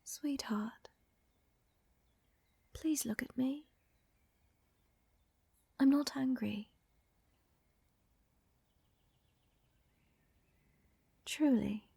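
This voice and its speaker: A woman plays a refined vampire countess, gently dominant and devoted to her lover. Her voice sits a little high, soft and very breathy, often slipping into a whisper. She takes her time with every phrase, close to the microphone in an ASMR roleplay. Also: extremely slow, pauses often, no echo, heavily acted. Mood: tender, reassuring. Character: tender, seductive female vampire countess, protective lover. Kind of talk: intimate ASMR audio roleplay. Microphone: close, dry microphone.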